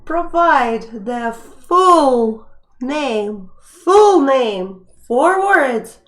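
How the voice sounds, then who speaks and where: A woman's voice: high-pitched, expressive, very slow, fairly bright tone, faint music behind. A young woman hosting a live stream quiz, chatting casually as she reads out questions and viewer names. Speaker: live stream trivia host.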